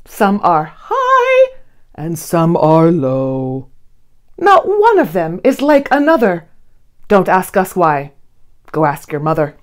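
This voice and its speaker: A woman in her thirties reading a rhyming children's picture book aloud in an expressive, theatrical manner with deliberate phrasing. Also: lowish voice. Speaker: children's storybook reader. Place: small indoor studio with blue backdrop.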